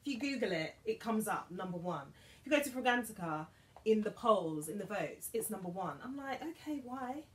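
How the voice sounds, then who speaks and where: A British woman in her thirties chatting to camera in a beauty haul vlog, animated and dropping to whispers for asides, filmed in her dressing room. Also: medium pitch, normal speed. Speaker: beauty vlogger. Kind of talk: casual haul chat to camera. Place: bedroom dressing room with vanity, indoors.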